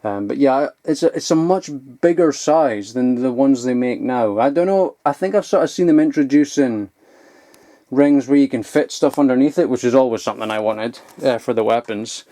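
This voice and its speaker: A man's voice: medium pitch, moderate pace, mellow, no room sound. A young man giving a casual, sweary, enthusiastic show-and-tell in an informal vlogging style. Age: young adult. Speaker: vlogger showing old toys. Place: loft at home, indoors.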